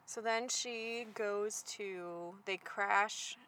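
A woman chatting casually about a movie's plot, easygoing and quick to laugh, with many filler words. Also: mid-range voice, sharp tone. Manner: easygoing, laughs often, frequent "yeah" and "like". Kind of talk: casual movie recap chat.

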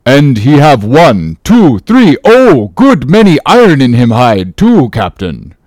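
Man acting an excitable harpooner, halting broken English. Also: mid-range voice, dry recording, clean studio sound. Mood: eager, groping. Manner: halting, disjointed broken English, repeats words. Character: foreign harpooner, eager, excitable man groping for words. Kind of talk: dramatic classic novel reading.

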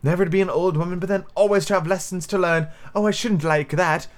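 Man voicing a musing, high-pitched young girl. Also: fairly even intonation, fast talker, natural voice. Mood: pondering. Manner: musing, chatting to herself. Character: young girl, curious, polite, self-pondering.

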